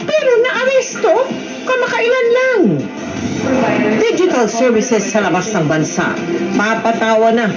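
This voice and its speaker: A woman's voice: sits high, a little slow. A woman with a Filipino accent anchoring TV news, reading headlines with emphatic, dramatic delivery. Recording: echoey TV broadcast, loud music bed. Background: loud news music.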